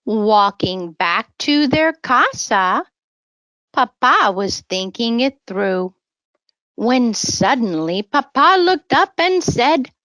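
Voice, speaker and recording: Very slow, expressive woman reading to children. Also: a little high, dry recording, poor audio. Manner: teacherly, simple deliberate phrasing, pausing to define words. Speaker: children's storybook author reading aloud. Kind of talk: read-aloud bilingual children's story with word-repeat prompts.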